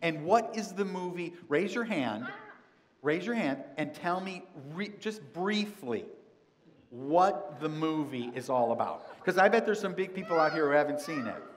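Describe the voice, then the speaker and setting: A middle-aged man, a pastor, giving a children's sermon and asking the kids many gentle questions. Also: on the high side, animated, moderate pace, crowd noise. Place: large church sanctuary. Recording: long church reverb.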